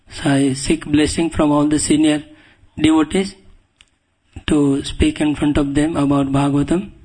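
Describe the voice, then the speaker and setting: A man with an Indian accent giving a devotional scripture lecture as a guest preacher, speaking humbly and haltingly and often clearing his throat. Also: on the high side, level tone, a little mellow, poor audio. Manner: humble, deferential. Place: speaking at a temple.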